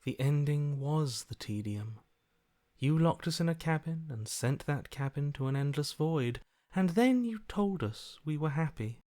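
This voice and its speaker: Cold, detached inner voice, bone dry. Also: male voice, fairly high pitch, animated, unhurried, warm tone, no echo. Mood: bitterly accusing. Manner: dry, blunt, dismissive. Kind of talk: video game voice acting.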